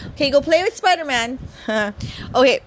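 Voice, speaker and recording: A woman's voice: high voice, animated, moderate pace, a little hiss or hum. A woman in her thirties from Hawaii, chatting casually as she introduces her podcast at home, stammering and restarting often with giggles. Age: 30s. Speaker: podcast host.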